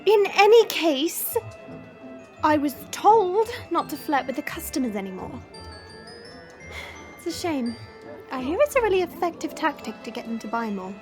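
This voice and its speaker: A woman's voice: high-pitched, big pitch swings, moderate pace, pauses often, faint music behind. A woman playing a small young princess in disguise, haughty, playful and naive, with a proud, teasing manner.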